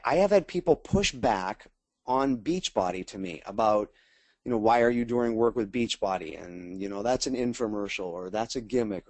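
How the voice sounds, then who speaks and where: A man's voice: medium pitch, a little mellow, no echo. An American man leading a casual webinar as a sales trainer, chatting informally and speaking straight to his attendees.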